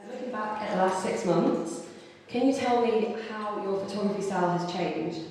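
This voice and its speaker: Lady hosting the panel, mellow voice, casual questions, big echoey hall. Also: mid-range voice, normal speed. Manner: casual, friendly, "um" and "so, like". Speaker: panel moderator. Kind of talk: interview questions. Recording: echoey hall, distant mic.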